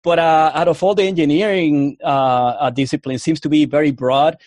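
A man with a Cuban accent and a slightly high-pitched voice recounts his path into the Army as an interview guest. He rambles, doubling back and restarting often. The sound is dry and close, with no room around it. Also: fairly even intonation, normal speed, darkish tone, no room sound. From Puerto Rico. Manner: rambling, full of restarts and fillers. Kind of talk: casual interview storytelling.

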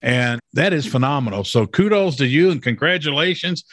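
A man's voice: mid-range voice, expressive, normal speed, a bit bright, dry recording, clean studio sound. A man hosting an interview who talks emphatically, repeats his points and often checks in with "okay?". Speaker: interview host. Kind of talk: promotional interview.

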